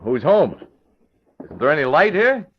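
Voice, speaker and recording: An actor playing a confident, quick-witted gentleman hero in an old radio crime drama. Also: fairly high pitch, very animated, a little hiss or hum. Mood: curious. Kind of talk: 1940s radio acting. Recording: dull lo-fi old radio recording.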